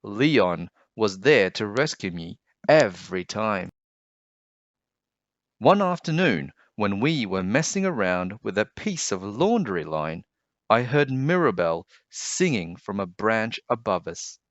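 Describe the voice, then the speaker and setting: A man reading aloud to children as a storyteller, with deliberate, expressive phrasing. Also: lowish voice. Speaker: storybook reader, host. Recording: dull, roomy home recording.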